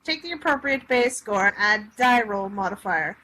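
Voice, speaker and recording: A woman's voice: mid-range voice, expressive, moderate pace, sharp tone, rough recording. A woman casually reading a tabletop game rulebook aloud, stumbling here and there over the text. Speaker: reader of a tabletop game supplement. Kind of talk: casual read-aloud with joking asides. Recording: clear background noise.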